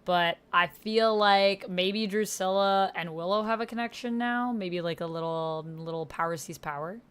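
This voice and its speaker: A woman livestreaming a text-based roleplaying game, reading narration aloud and chatting casually with slangy, excitable reactions. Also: mid-range voice, moderate pace, clean tone.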